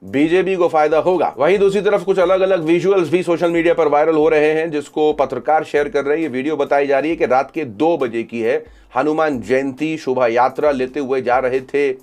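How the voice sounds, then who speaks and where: A man's voice: on the high side, fairly even intonation, moderate pace, hardly any pauses, darkish tone. A man hosting social media news commentary, reporting events and reading out reactions.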